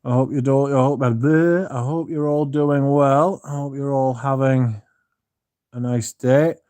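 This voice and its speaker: A man's voice: mid-range voice, steady tone, takes it slowly, mellow, no echo. A tired man, chatting casually and rambling on a livestream, swearing often and restarting sentences. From Britain. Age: 30s. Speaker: streamer. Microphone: phone.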